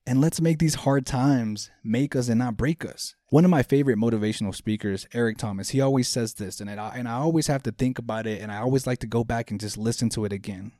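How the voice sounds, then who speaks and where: Podcast host, male, thirties, warm mellow tone, dry close sound, sincere motivational delivery. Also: medium pitch, fast talker, hardly any pauses, dry recording. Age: 30s. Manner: earnest, repetitive, speaks straight to listeners. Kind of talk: motivational self-help talk.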